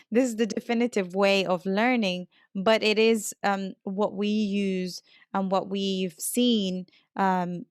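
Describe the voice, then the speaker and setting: A woman's voice: mid-range voice, steady tone, takes it slowly, clear, resonant tone, no echo, studio quality. A woman hosting a casual podcast interview about language learning, talking like a teacher to her listeners. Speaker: podcast host and English teacher.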